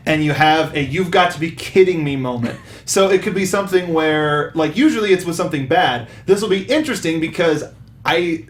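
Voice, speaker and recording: A man with a slightly high voice hosts a casual review panel. He talks as an earnest enthusiast, keeping it conversational while he leads the discussion. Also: expressive, brisk. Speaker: host of a film review show.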